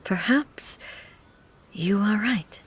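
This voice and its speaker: An actress playing a composed, considerate female ruler in a video game, formal, reserved and gently reassuring. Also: medium pitch, drawn-out words, a little hiss or hum. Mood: gentle.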